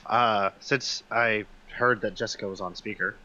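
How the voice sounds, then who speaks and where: Bright, forceful lower-pitched man gaming. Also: fairly even intonation, moderate pace. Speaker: player in a tabletop game. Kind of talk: casual tabletop game chat. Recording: slightly dull, noisy mic.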